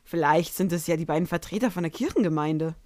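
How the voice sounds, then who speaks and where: A woman's voice: low voice, quick pace, a little mellow, no room sound, studio quality, natural voice. A woman playing a mischievous winged unicorn princess in a fan audio story, with a casual, playful manner. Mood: casual, cheeky.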